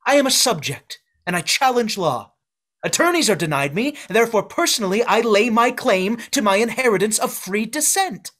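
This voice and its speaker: A man's voice: high-pitched, expressive, moderate pace. A man reading an aggrieved exiled duke. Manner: forceful declamation. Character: aggrieved exiled duke claiming his inheritance. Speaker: actor. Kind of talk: Shakespeare staged reading. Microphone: video call webcam.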